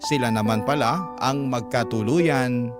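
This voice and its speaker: A man's voice: mid-range voice, measured pace. A man with a Filipino accent narrating a first-person story as a radio storyteller. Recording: prominent music bed behind narration. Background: loud music.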